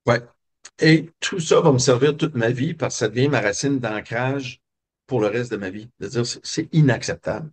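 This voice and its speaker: Un homme québécois, invité d'une entrevue, qui raconte son enfance d'un ton réfléchi, avec beaucoup d'hésitations. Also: male voice, mid-range voice, normal speed. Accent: Québécois French. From Quebec. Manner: reflective, many hesitations and fillers. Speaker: guest. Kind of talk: personal storytelling interview.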